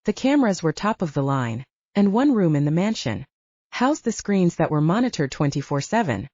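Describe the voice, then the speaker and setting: A woman's voice: lowish voice, very animated, normal speed, a little mellow, dry recording. A woman reading scripted fiction as an audiobook narrator, in a slightly dull recording.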